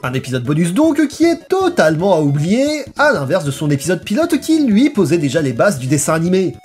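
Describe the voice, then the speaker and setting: Lively narrator over music. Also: medium pitch, fairly quick. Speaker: video essay narrator. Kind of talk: scripted narration, review.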